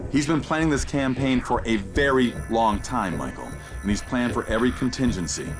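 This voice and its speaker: An actor playing a grave, urgent mission guide in a video game, giving deliberate, commanding instructions. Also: male voice, medium pitch, lively intonation, close to an everyday voice. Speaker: mission guide over radio. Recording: loud game music behind the voice.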